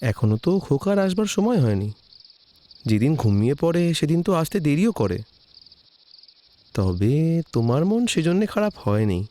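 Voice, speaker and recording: An actor playing a calm young husband in an audio drama, gently reassuring his wife. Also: fairly high pitch, moderate pace, pauses often.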